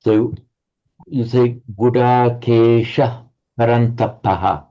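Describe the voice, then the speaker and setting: Gravelly-voiced man teaching, halting speech. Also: mid-range voice, steady tone, dull audio. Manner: halting, stammering repeats, patient. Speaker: Sanskrit teacher. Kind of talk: online class, correcting pronunciation.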